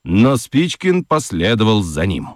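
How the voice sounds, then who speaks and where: A man narrates a children's radio story in an expressive, scripted style. His voice is low and swings widely in pitch, recorded close and dry. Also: unhurried, no room sound. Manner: expressive, dramatic reading.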